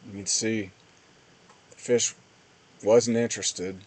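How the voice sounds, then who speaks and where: A man's voice: lowish voice, steady tone, takes it slowly, a little mellow, breathy edge, rough recording. A man giving casual, plain-spoken voice-over commentary on fishing footage as host of a fishing channel.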